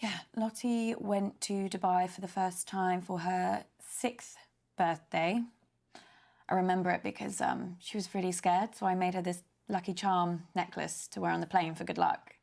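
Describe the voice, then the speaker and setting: Earnest young woman, understated and a bit hesitant, lots of vocal fry, fmv game. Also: on the low side, steady tone, normal speed, a little mellow. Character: patient, earnest young woman humoring spiteful relative. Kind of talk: interactive film acting.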